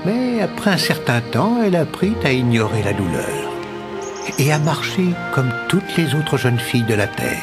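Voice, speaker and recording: An actor narrating an animated fairy tale as a solemn storyteller with a dramatic delivery. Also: male voice, medium pitch, moderate pace. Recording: loud music under narration.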